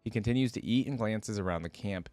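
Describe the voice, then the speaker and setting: A man's voice: lowish voice, fast talker, darkish tone, no room sound, soft background music. A man reading a story aloud expressively, breaking off for casual, informal commentary as a reaction creator.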